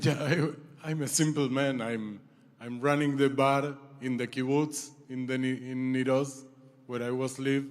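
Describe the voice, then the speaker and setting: A man from Israel and Argentina, giving a halting, emotional speech as a former hostage, repeating and apologizing for his English. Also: medium pitch. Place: large banquet hall. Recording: long hall reverb.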